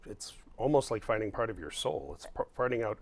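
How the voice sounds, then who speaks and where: A man's voice: fairly low pitch, animated. Resonant tenor man, emphatic guest. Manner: emphatic, agreeable, quick to say "absolutely". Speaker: voice and breath coach, guest. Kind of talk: studio interview. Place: TV studio.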